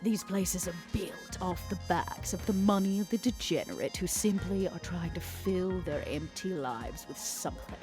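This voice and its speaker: A woman playing a scheming, worldly female vampire businesswoman in a tabletop roleplay, speaking in a theatrical, deliberate, insinuating way. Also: fairly low pitch, music in the background, close to an everyday voice. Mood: sly, scornful.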